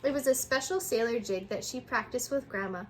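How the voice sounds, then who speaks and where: A woman reading a children's picture book aloud in an animated way, sometimes stumbling and rereading words. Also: a little high, fairly quick, a little hiss or hum.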